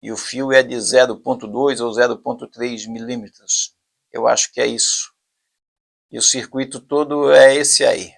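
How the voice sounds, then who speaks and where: A Brazilian man with a medium-pitched voice and a noticeable creaky, gravelly edge. He speaks with a Brazilian Portuguese accent as a tutorial presenter. His explanation is informal and technical, delivered haltingly as he thinks aloud and repeats himself. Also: fairly even intonation.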